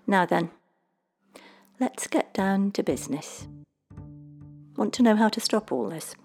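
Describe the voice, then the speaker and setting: Woman voicing a cheeky, insistent little man, animated. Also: medium pitch, soft background music, voice pushed up. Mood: cheerful. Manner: teasing, brisk, matter-of-fact. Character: mysterious, cheeky little old-fashioned man, breezily insistent.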